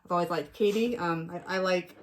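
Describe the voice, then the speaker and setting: A woman's voice: fairly low pitch, a little fast, slight background noise. A woman, co-hosting a casual chat, hesitant and repeating herself as she trails off. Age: 40s. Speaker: co-host.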